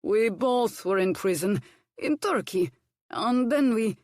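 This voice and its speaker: A man's voice: high voice, animated, slow, no echo, clean studio sound, voice pushed up. A woman voicing a blunt, bossy male ship's cook who speaks broken English, in a storybook reading. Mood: matter-of-fact. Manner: broken English, curt commands.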